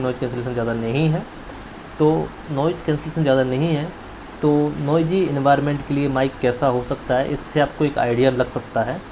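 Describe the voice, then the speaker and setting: A man in a casual product-test talk to viewers, explaining step by step and repeating his points. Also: medium pitch. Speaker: tech reviewer. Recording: noisy amateur recording with ambient background noise.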